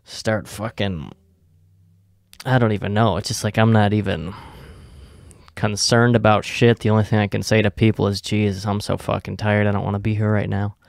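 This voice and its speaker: A man's voice: on the low side, level tone, normal speed, gravelly, dry recording, studio quality. A tired young man, a podcast co-host, rambling in a laid-back, profane, casual way, with lots of fillers and trailing thoughts.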